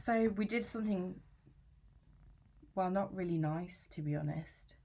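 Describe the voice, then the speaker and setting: A woman's voice: lowish voice, a little slow, dark tone. A woman playing an anxious, aggrieved young British student in a conversational, confessional dramatic monologue, chatty, slangy and self-justifying. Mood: guilty, confiding. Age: young adult. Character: anxious young female student, aggrieved and defensive about housemate.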